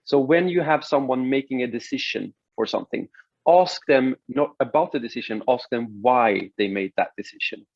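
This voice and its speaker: A man's voice: mid-range voice, lively intonation, normal speed, creaky, a little mellow, no room sound. Male course host on a video call, encouraging, conversational; dull, dry sound. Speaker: course facilitator. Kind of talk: online lecture.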